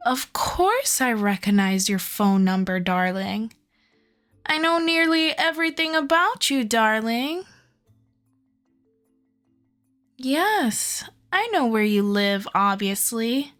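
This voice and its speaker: Woman acting a slow, sweet, possessive kidnapper girlfriend. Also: fairly high pitch, animated, soft background music. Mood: sweetly possessive. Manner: doting, coaxing, softly controlling. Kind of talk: ASMR audio roleplay.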